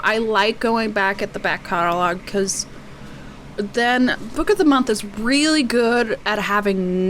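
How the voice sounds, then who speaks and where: Woman vlogger w a bit of a hoarse voice, medium pitch, rambly casual chat, faint noise behind her. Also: fairly bright tone. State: losing her voice, slightly hoarse. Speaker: book vlogger.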